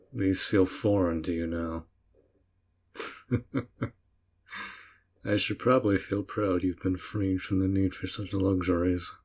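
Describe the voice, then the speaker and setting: Orc general, male, played by a man: lowish, unhurried delivery, gruff and protective, earnest and tender beneath, for roleplay audio drama. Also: darkish tone. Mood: wry, gentle. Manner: slow, measured, earnest, with sighs.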